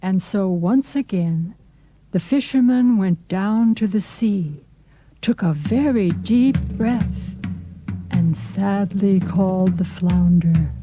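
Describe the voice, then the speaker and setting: A woman's voice: medium pitch, slow pace, warm tone, soft background music, low-quality recording. A woman narrating a folk tale as scripted storybook narration for English learners.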